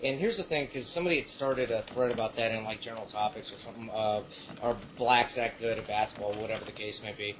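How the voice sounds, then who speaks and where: A man's voice: on the low side, fast. A man from Croatia explaining things in casual, rambling live talk. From Croatia, former Yugoslavia. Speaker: talk show participant. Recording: dull lo-fi audio with clear noise.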